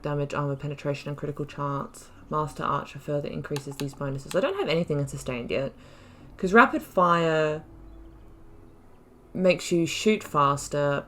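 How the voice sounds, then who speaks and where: Gamer lady chatting thru her playthrough, voice a bit low, noisy recording w some typing behind. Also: fairly bright tone. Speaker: gaming YouTuber playing through a role-playing game. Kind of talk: casual let's play commentary, thinking aloud.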